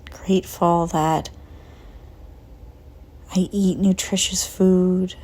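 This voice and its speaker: A woman narrating a guided visualization in a soft, breathy voice that often nears a whisper, calm and gentle with long pauses. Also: fairly low pitch. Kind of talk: slow guided visualization, soothing scripted narration.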